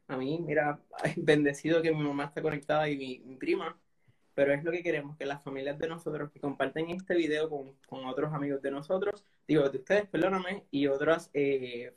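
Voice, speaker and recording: A man talking earnestly about supporting people living with HIV, reflective, often adding "¿verdad?" as he talks. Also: on the high side. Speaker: HIV peer support advocate. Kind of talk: casual panel conversation.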